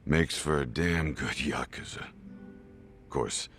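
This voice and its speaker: A man voicing a boozy, world-weary old former yakuza boss with a gravelly, rambling delivery in an English game dub. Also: a bass voice, slow pace, fairly bright tone, heavily acted. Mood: nostalgic, musing. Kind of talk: video game cutscene dialogue. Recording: music behind the voice.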